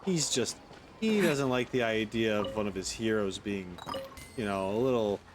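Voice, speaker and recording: A man's voice: on the low side, moderate pace, background noise. A man streaming a game with casual let's-play commentary, rambling as he thinks aloud. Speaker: gaming streamer.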